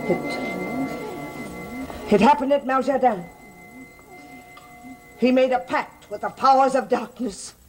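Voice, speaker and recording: An actress playing a stern, secretive older female servant in a gothic soap opera, speaking gravely and deliberately. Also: sits high, a little mellow, faint music behind. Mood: grave, alarmed. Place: television studio set of an old house.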